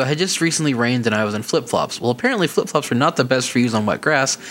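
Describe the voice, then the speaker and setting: A man's voice: mid-range voice, a bit bright, slight background noise. A man co-hosting a podcast, chatting casually and reading listener emails aloud with frequent laughs. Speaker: podcast co-host.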